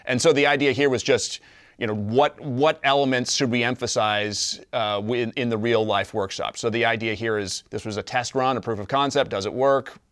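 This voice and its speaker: A man's voice: medium pitch, fairly even intonation, moderate pace, a bit bright, no echo. A man in his forties explaining things earnestly and methodically as a guest in a studio interview. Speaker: business co-owner, interview guest. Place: small TV studio.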